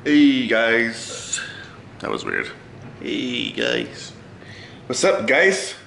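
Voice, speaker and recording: A man's voice: medium pitch, very slow, vocal fry, fairly bright tone, slight background noise. A young man in his twenties chatting playfully to his handheld camera in a small apartment. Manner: playful, teasing, loose and jokey. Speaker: vlogger. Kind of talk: casual home vlog chat. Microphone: handheld camera at arm's length.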